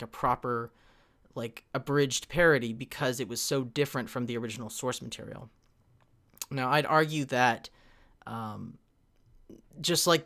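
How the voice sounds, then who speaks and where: A man's voice: mid-range voice, a bit bright. Rambling man hosting a streamed fan panel. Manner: loose and rambling, many fillers and restarts, checks the chat. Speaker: convention panel host. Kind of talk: casual live-streamed panel presentation.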